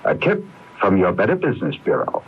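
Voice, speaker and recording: A man's voice: deep voice, huge pitch swings, a little fast, very noisy. A man reading a scripted public service announcement as a consumer advice announcer, on a dull AM radio recording.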